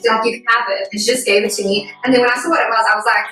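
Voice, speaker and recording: A woman's voice: fairly high pitch, steady tone, rapid-fire, bright, forceful tone. Bubbly South African woman in an echoey room. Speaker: YouTube host. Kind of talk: casual makeup vlog chat. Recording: very echoey room, mic at a distance, music behind.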